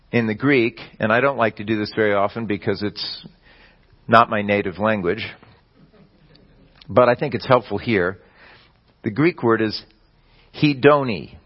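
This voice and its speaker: A man delivering a sermon at a mid-range pitch, unfolding his points in a measured teaching way. He stumbles now and then and restarts a phrase. The recording sounds slightly dull and lo-fi. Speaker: preacher.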